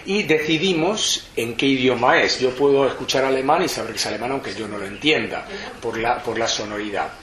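A man's voice: medium pitch, a bit bright. A man from lecturing to an audience, reflective and explanatory, often restarting his sentences. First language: Spanish. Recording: roomy hall echo, faint background noise.

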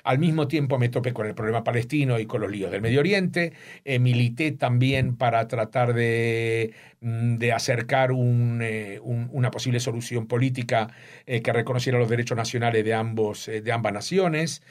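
An older man from Uruguay, an author speaking thoughtfully in an interview about his book and often pausing to search for words. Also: mid-range voice. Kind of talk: reflective interview talk.

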